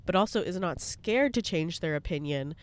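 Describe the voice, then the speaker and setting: A woman's voice: lowish voice, lively intonation, moderate pace. A young woman with a congested voice, chatting bluntly in a casual vlog from her parked car, swearing freely. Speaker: vlogger.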